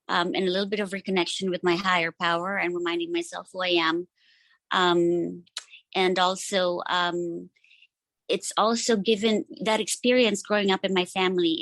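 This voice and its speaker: A woman in her forties from the Philippines, sharing personally at a support meeting, pausing often with many ums. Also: on the low side, fairly bright tone, no room sound, poor audio. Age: 40s. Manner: hesitant, many ums and pauses.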